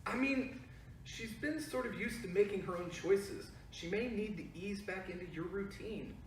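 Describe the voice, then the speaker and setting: An actor gives a gentle father who talks softly and tentatively, trying to keep the peace. The voice sounds far from the mic in an echoey room with faint noise. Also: medium pitch, moderate pace, natural voice. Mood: placating. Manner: soothing, tentative. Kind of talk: staged play reading over video call.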